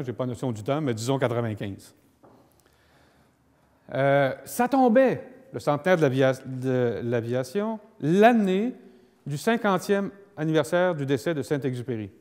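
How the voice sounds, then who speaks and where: A man's voice: mid-range voice, big pitch swings, moderate pace, darkish tone. A man with a Quebec French accent lecturing like a lawyer, explaining didactically with emphatic repetition and acted-out examples. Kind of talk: public lecture on law with spoken examples. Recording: long echoing hall reverb.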